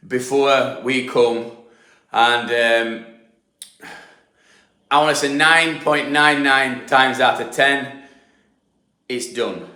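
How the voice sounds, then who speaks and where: A man's voice: medium pitch, a bit bright. A middle-aged man with a Yorkshire accent giving a casual on-site walkthrough of a damp job, rambling and explaining with lots of fillers and restarts. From Yorkshire, England. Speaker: damp proofing specialist. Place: house being stripped for damp work, indoors. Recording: echoey empty room.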